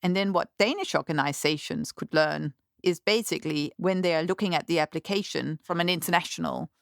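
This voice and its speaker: Low gravelly female co-host, thoughtful. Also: brisk, no echo, studio quality. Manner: thoughtful, explanatory. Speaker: podcast co-host. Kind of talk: casual studio chat.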